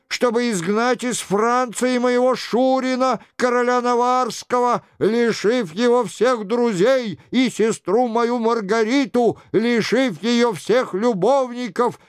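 Мужчина-чтец играет насмешливого шута, который жалобно, нараспев изображает кающегося короля. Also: male voice, smooth, dry recording, clean studio sound. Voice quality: plaintive, high-pitched. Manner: drawn-out, exaggerated penitence. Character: mocking jester imitating the king in plaintive mock confession.

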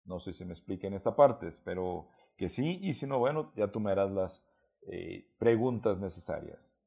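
A man with a Mexican accent talks through a student's written work in an unhurried, patient way. He hesitates often, restarts his sentences and explains each point carefully. His voice is low and mellow, heard on a dull, lo-fi recording. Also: lively intonation, creaky, breathy edge. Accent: Mexican Spanish. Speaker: Spanish teacher. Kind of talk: recorded lesson correcting student writing.

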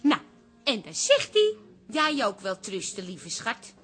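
An actress playing a lively, frank café woman and frustrated wife in a radio comedy, chatty and expressive. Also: a little high, slow, husky, exaggerated voice. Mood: playful complaining. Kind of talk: naturalistic radio comedy acting.

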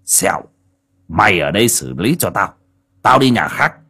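A man's voice: lowish voice, big pitch swings, moderate pace, gravelly, bright, forceful tone, husky, heavily acted. A man voicing a cruel, greedy old male landlord who barks orders and bullies his debtors. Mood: scornful. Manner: barking, bullying.

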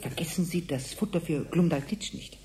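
A man's voice: a little high, moderate pace, dark tone, natural voice. An older man playing a forgetful, confused ancient man in a radio play, stammering, muttering and repeating himself. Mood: doting, fretful. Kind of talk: science fiction radio play acting.

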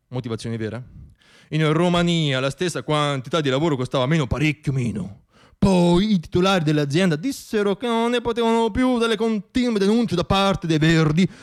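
A man in his thirties performing a comic theatrical monologue, shifting between hushed asides and declamation. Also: fairly high pitch. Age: 30s. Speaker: stage monologist.

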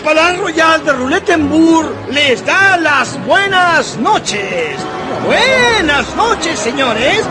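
A very high-pitched female casino croupier calls out slowly in drawn-out, projected phrases. It is radio drama, with music and a busy crowd behind. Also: very animated, heavily acted. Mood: theatrical calling out. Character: casino croupier calling out wins and farewells. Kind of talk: Spanish-language radio drama acting.

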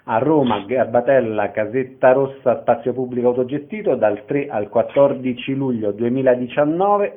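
A man conducting a radio interview as the host. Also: medium pitch. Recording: narrow phone-like sound.

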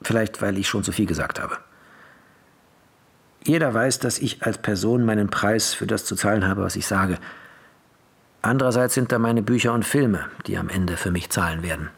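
A man giving measured scripted narration of film scenes, with clipped scene directions. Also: lowish voice, vocal fry, slightly rough, dry recording.

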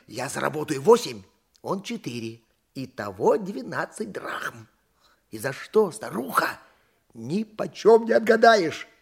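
An excitable donkey driver in an audio play. His high voice swoops widely as he argues like a stubborn, pious, working-class husband, theatrical and quick to take offence. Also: creaky, slightly rough, exaggerated voice. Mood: gleeful, scheming. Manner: theatrical, indignant, chuckling cunningly. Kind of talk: audio play stage acting.

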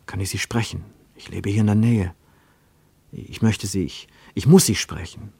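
A male reader acting an anxious young man in a literary radio reading, speaking low and close in a dry studio. He is hushed and furtive, pleading urgently and tripping slightly over his words. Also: normal speed, gravelly, breathy edge, no room sound.

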